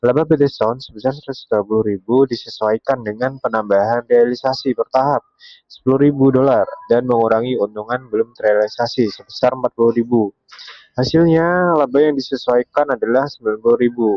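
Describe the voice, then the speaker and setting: A young man walks through material step by step like a student presenter. His voice sits at an ordinary pitch. The recording is very dry and somewhat muffled and lo-fi. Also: steady tone, a little fast, no echo. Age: young adult.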